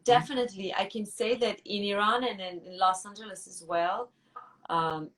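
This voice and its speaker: A woman musician answering interview questions thoughtfully, pausing and restarting often as she searches for words. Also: medium pitch, fairly even intonation, fairly bright tone, recorded from a distance, rough recording. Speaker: musician guest.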